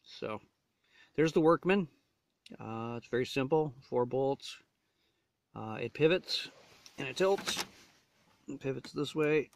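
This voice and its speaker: A man's voice: medium pitch, lively intonation, slow pace, creaky, long pauses. A man casually demonstrating gear as a reviewer, talking in short, hesitant phrases. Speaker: gear reviewer showing a radio install.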